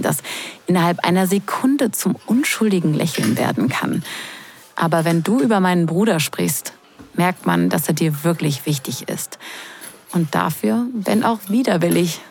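A low, breathy, teasing woman. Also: animated, full character voice. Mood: teasing, grudging respect. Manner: breathy, hushed, slow and sensual delivery. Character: wedding guest, sister of the groom, teasing and drawn in. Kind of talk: intimate audio drama narration and dialogue.

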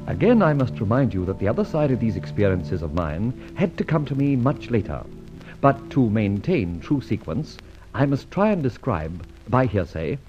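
A man playing a suave, wry and commanding gentleman adventurer in a vintage radio adventure serial. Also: fairly low pitch. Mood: sly, composed. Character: suave, self-assured gentleman adventurer and amateur sleuth. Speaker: narrator and lead actor. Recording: dull old radio recording, music behind.

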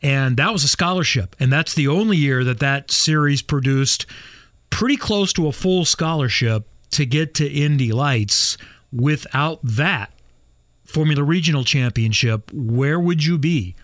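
A man's voice: on the high side, animated, measured pace, fairly bright tone, no room sound. A man hosting a motorsport talk show in the studio, reeling off his guest's career results and asking questions. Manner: informed, reads off guest's career stats. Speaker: show host. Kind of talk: radio sports talk interview.

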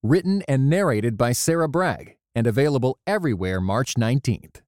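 A man reads a short sponsor message with a lively, swinging pitch. The sound is dry and close to the mic. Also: moderate pace, dry recording. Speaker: sponsor announcer.

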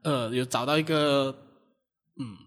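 A man with a Malaysian accent chatting casually in an animated, self-deprecating way about his own amateur performing. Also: medium pitch, measured pace. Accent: Malaysian Chinese-language. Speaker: amateur audio drama performer. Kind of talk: casual chat.

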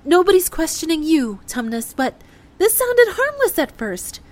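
A worried moon base crew member in an audio drama. She has a very high, swooping voice and speaks cautiously, with halting concern. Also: sing-song, full character voice. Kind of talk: scripted audio drama acting.

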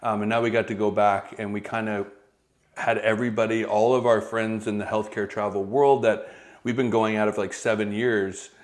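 A man's voice: on the low side, little pitch movement, moderate pace. A man in his thirties co-hosting a podcast, chatting casually and teasing his partner. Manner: teasing, easygoing. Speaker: podcast co-host. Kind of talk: casual chat.

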